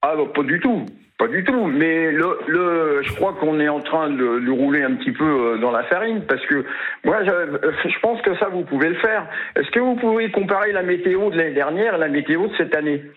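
A talkative man calling a radio show to share his view. His voice sits fairly high and comes through a thin phone line. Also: moderate pace. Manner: talkative, opinionated. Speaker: caller.

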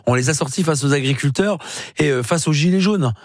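A man's voice: fairly high pitch, a little fast, no echo, studio quality. A man from northern France, a guest in a TV debate, arguing insistently with many fillers and repeated denials. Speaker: political guest. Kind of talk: fast combative TV debate talk.

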